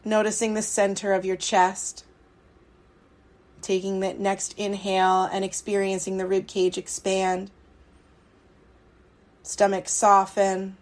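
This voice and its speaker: A woman leading a guided relaxation meditation, speaking soothingly and unhurriedly in short phrases with long pauses. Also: mid-range voice, completely flat delivery. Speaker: meditation guide, yoga teacher. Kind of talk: guided yoga nidra meditation.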